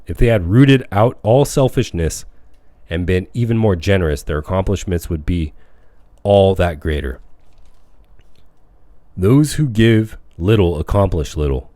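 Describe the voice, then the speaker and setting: A man reading a self-help audiobook as scripted narration, with measured, declamatory phrasing. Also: sits low, lively intonation, dark tone, no echo. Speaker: audiobook reader.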